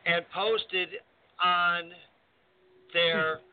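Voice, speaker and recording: A man's voice: high voice, fairly even intonation, extremely slow, smooth. Man co-hosting with tinny phone-like sound. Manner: hesitant, many fillers. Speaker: co-host, wrestling referee. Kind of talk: casual radio chat.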